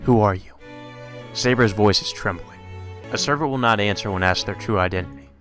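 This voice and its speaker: Male visual novel narrator, low register, dramatic storytelling delivery, faint music underneath. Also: brisk, a bit bright. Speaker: let's play narrator reading a visual novel aloud. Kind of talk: dramatic read-aloud narration.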